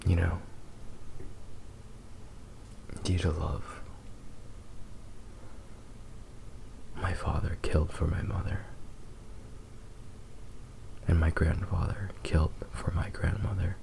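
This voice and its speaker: A man playing a possessive, doting fox-boy demon lover in a tender, hushed ASMR roleplay, with lingering pauses. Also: low-pitched, flat delivery, creaky, heavily acted. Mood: quietly menacing devotion.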